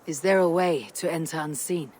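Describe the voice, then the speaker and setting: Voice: medium pitch, moderate pace, darkish tone, slight background noise, natural voice. A voice actor playing a blunt, confident Viking raider leader in a game cutscene, with dry wit. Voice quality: blunt, confident, dryly witty. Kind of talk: video game cutscene acting.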